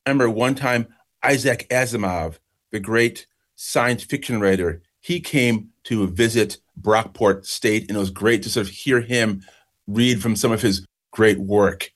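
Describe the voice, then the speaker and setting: Measured, reflective male author. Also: fairly low pitch. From Jamaica, raised in New York state. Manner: reflective, measured, slows for emphasis. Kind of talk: interview answers, storytelling.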